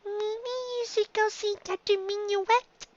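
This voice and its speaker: Squeaky, very high little filly. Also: female voice, fairly even intonation, slow, dry recording, muffled recording. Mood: earnest, bashful. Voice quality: squeaky, childlike. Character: small earnest young filly, sweet and eager.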